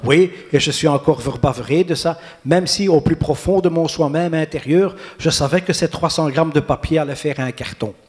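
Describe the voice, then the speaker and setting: A man's voice: on the high side, moderate pace, few pauses, lightly acted. A man putting on a vain, smug novelist. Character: vain, solemn male novelist.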